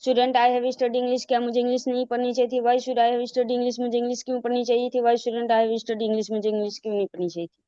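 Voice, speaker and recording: A female student reciting a grammar drill in a fast, even way. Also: on the high side, clear, resonant tone, dry recording, lo-fi sound.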